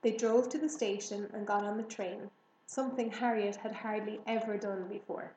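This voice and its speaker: A woman's voice: mid-range voice, steady tone, normal speed, mellow. A woman reading a children's book aloud as storybook narration. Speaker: storybook reader. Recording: roomy echo, faint background noise.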